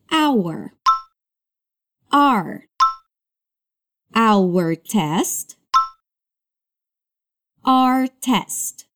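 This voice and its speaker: A woman teaching English as a language lesson, modeling words slowly and carefully before brisk explanations. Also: fairly high pitch. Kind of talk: slow drilled pronunciation lesson.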